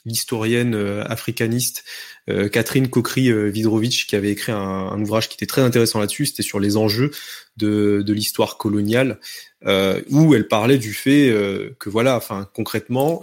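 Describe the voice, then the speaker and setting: A man's voice: fairly low pitch, a little mellow. A man leading a casual discussion, asking questions hesitantly with frequent restarts. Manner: hesitant, many restarts and fillers. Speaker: discussion host.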